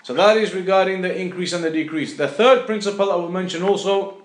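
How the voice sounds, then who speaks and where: A man's voice: high-pitched, brisk. A man teaching a religious lesson off the cuff, explaining didactically and repeating phrases for emphasis. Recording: echoey room.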